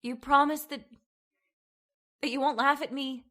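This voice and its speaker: A woman's voice: sits high, steady tone, measured pace, pushed and bright. A woman playing an anxious, frightened young woman in old-time radio horror, hesitant and dramatic. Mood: troubled.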